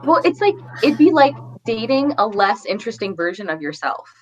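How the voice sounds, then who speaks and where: A woman's voice: a little high, fairly quick. A woman co-hosting a casual book discussion, acting out quoted asides and often saying "like", on a dull recording. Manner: animated retelling with quoted asides, frequent "like". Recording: dull lo-fi audio.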